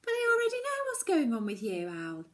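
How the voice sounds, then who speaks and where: Woman putting on dreamy loving mother, storybook, earnest, coaxing, emphatic, higher pitch. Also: wildly swooping pitch, fairly quick.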